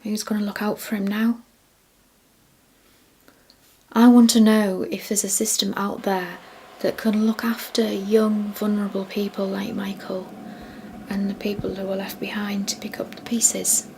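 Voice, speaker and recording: An actress playing a grieving, devastated middle-aged mother, giving a breathy testimonial monologue in a film drama. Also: mid-range voice, little pitch movement, normal speed, background noise, close to an everyday voice. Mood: anguished.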